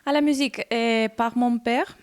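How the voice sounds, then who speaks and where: A woman from Argentina, a singer interviewed on the radio, who searches for her words with many pauses and fillers. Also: fairly high pitch, steady tone.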